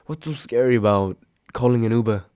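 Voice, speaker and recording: A man's voice: mid-range voice, fairly quick, a little mellow, no room sound, studio quality, lightly acted. A young man acting a grumpy, sarcastic but secretly caring roommate woken from sleep, grumbling and sighing in an intimate roleplay audio drama. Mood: annoyed. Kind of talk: intimate roleplay audio drama, one side of a phone call.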